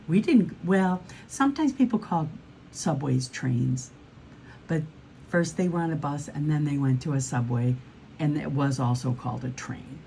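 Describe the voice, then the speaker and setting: Low, mellow woman reading to children. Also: slight background noise, poor audio. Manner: encouraging, addresses young listeners with questions. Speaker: read-aloud host for children. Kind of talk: children's storybook read-aloud with asides to listeners.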